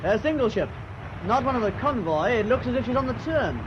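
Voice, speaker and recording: An actor playing an alert, deferential British first lieutenant in a radio drama, crisp and formal. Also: male voice, very high voice, big pitch swings, a little fast. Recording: dull radio recording, loud background noise.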